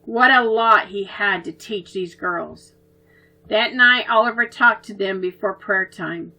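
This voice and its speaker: A woman reading a children's story aloud in a gentle, expressive way. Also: medium pitch, a little slow, soft background music, rough recording.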